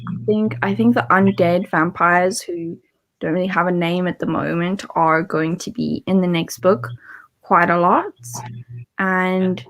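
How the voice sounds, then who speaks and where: A woman's voice: on the low side, low-quality recording. A woman chatting casually as co-host of a livestream about books, on a video call. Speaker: co-host of a book readalong livestream. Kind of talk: casual chat.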